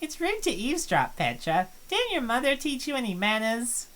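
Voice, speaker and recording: Woman as vain mean-girl student in a visual novel, high and sneering. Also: big pitch swings, moderate pace, a bit bright. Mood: haughty. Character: haughty snobbish college girl, vain and catty. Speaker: game streamer reading voice lines.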